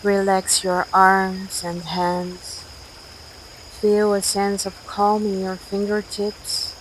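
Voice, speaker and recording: Slow-paced woman guiding a sleep meditation. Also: medium pitch, rough recording. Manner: gentle, soothing, measured instruction with long pauses. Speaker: meditation guide. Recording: steady outdoor nature noise, crickets. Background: cricket and night insect ambience.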